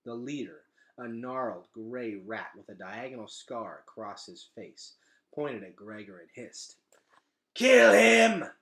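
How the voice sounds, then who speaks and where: A man reading a children's novel aloud in an expressive, dramatic storytelling style. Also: on the low side, unhurried. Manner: animated, dramatic delivery.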